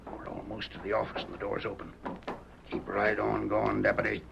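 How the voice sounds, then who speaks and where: An actor playing a ruthless scheming outlaw in a 1930s radio drama, gruff and menacing. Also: male voice, on the low side, level tone, normal speed. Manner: gruff, menacing, folksy western drawl. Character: ruthless scheming male outlaw, jailed and gun-ready. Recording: muffled, noisy old radio recording.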